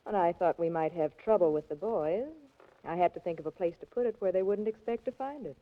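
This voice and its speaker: A woman's voice: lowish voice, fairly quick, close to an everyday voice. Scheming radio femme fatale played by a woman. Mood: cool, defiant. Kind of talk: 1940s radio acting. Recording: muffled 1940s radio recording.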